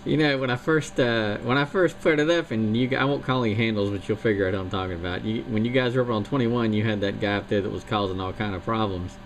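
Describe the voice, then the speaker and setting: A man's voice: on the low side, fast talker, hardly any pauses, slight background noise, poor audio. A man who operates a CB radio, chatting in a folksy, rambling way. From North Carolina. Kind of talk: casual radio chat.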